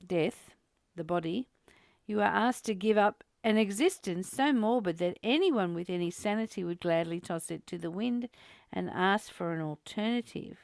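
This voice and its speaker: A woman's voice: medium pitch, normal speed, vocal fry, a little mellow, dry recording. A woman leading a casual, reflective spiritual discussion in a conversational way, repeating phrases for emphasis. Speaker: spiritual study host.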